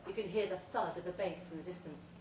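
A woman reads a short story aloud at a live event, delivering it theatrically in measured, deliberate phrases. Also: lowish voice, a little mellow. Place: pub event room, read aloud live. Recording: roomy, distant live recording with faint noise.

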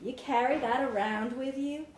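A woman's voice: sits high, moderate pace, natural voice. A woman reader voicing a curt, organised, reserved mom in a short story. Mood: mildly curious. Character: curt, organised American mom, mildly curious. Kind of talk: character dialogue in a live story reading. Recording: roomy live recording with background noise.

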